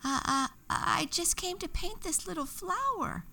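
A woman voicing a gentle young fairy girl in a storybook, speaking softly and pleadingly. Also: high-pitched, lively intonation, slow pace, vocal fry, recorded from a distance, voice pushed up, full character voice. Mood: timid. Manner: soft, pleading and timid.

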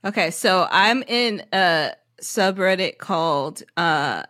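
A woman's voice: lowish voice, expressive, slow pace, a bit bright, no room sound, clean studio sound. A woman co-hosting a podcast, casually chatting and reading posts aloud. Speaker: podcast co-host.

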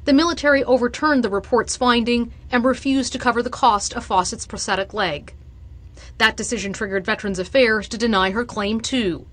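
A woman's voice: on the high side, brisk, a bit bright. A woman with a Canadian accent reading scripted news narration as a reporter.

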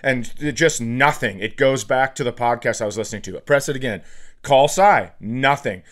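A man's voice: medium pitch, moderate pace, fairly bright tone. A middle-aged American man telling stories casually on a comedy podcast, profane and exasperated as he mocks himself. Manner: profane, exasperated self-mocking rants.

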